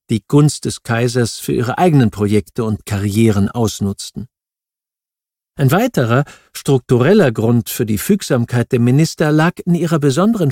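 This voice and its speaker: A man reads a scripted nonfiction audiobook in a measured, factual manner. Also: medium pitch, warm tone, no echo, clean studio sound. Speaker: audiobook narrator.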